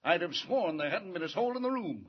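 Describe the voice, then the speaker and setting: Casting: harried, insistent commissioner for old-time radio, voice a bit high, muffled period sound. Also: male voice, a little fast. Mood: uneasy. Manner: fretful, excitable, insistent. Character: anxious, flustered male city commissioner. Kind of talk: 1940s radio serial acting. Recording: muffled, noisy 1940s radio recording.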